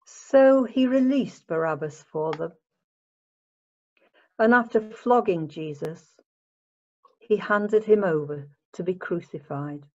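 Lady narrating bible reading, measured and formal, kinda dull lo-fi audio. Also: fairly low pitch, expressive. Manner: measured, formal read-aloud delivery. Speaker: narrator of a church scripture reading. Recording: dull lo-fi home recording.

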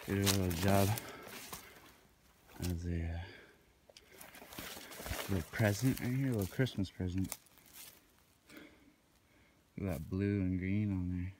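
A laid-back man with a deep voice and an unhurried drawl, talking offhand in slang as he walks a wooded hillside. His handheld phone picks up rustling brush and clear outdoor noise all around him. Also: fairly even intonation, darkish tone. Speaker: vlogger. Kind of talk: rambling casual commentary while hiking. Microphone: handheld phone camera while walking.

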